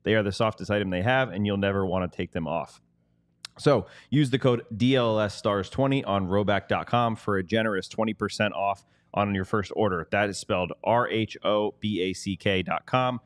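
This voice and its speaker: Male podcast co-host, lowish voice, casual sponsor reads with ad-libs, dry close recording. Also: normal speed, no room sound.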